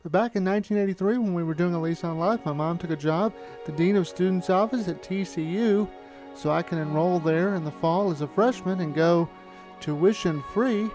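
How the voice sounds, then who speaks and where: Middle-aged man, American accent, higher voice, retrospective storytelling, music behind. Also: moderate pace, a little mellow. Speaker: amateur filmmaker looking back on his work. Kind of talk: retrospective narration to camera.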